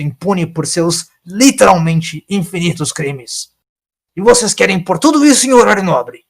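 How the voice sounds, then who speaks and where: An indignant old time lord from an audio story, acted out by a male narrator, scolding everyone around him. He is loud and forceful, with sarcastic questions pitched above an ordinary speaking voice. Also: vocal fry, breathy edge, full character voice. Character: furious accusing old male alien time traveler.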